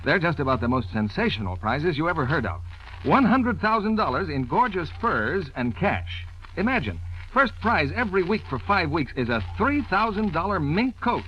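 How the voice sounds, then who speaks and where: A man's voice: fairly high pitch, big pitch swings, moderate pace. An American man announcing an advertisement in 1940s radio style. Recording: dull, faintly noisy old radio recording.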